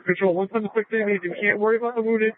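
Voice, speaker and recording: A man's voice: high voice, steady tone, very fast. A male police officer reporting over police radio traffic. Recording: tinny, band-limited police radio scanner.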